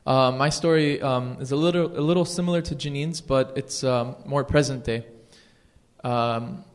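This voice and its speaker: A man's voice: mid-range voice, fairly even intonation, moderate pace. A young man from Chicago introducing himself on a panel, speaking hesitantly with fillers into a handheld mic. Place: indoor venue seated on a panel. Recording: long hall reverb.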